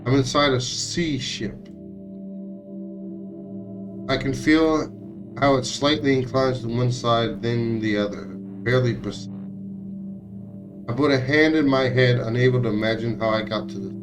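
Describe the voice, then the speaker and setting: A man streaming a visual novel, reading its text aloud in a somewhat halting way with occasional stumbles. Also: mid-range voice, over music. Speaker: game streamer.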